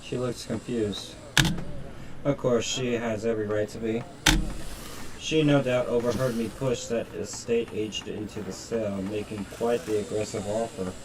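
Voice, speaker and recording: A man's voice: fairly low pitch, steady tone, moderate pace, distant mic, very noisy. A man reading a visual novel's narration aloud on a stream, in a dramatic storytelling style.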